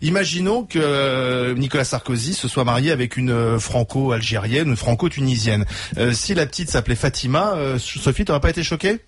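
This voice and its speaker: A man's voice: medium pitch, fairly quick, breathy edge. A man hosting a radio debate, asking probing questions. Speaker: host.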